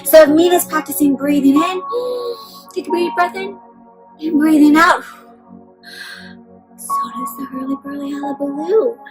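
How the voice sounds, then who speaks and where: A woman hosting an interactive picture-book read-aloud for young children, expressive and speaking directly to her listeners with questions. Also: sits high, moderate pace, pauses often, music in the background.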